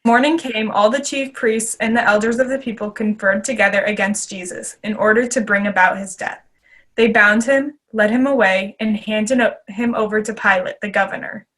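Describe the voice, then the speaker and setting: A woman narrator giving a measured, formal reading of scripture for readers theater. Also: mid-range voice, steady tone, a bit bright.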